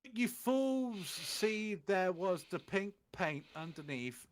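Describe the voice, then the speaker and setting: Man giving a lively, theatrical comic reading. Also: high-pitched, takes it slowly. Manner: theatrical, putting on voices for quoted lines. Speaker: reader of fan fiction on a comedy stream. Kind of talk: comedic dramatic reading of amateur fan fiction.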